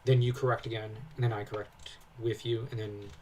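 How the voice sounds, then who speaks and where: A man's voice: fairly low pitch, level tone, a little fast, darkish tone, distant mic, a little hiss or hum, low-quality recording. A young man co-hosting a casual lore breakdown, talking in an enthusiastic, rambling way full of tangents. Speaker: co-host commentator.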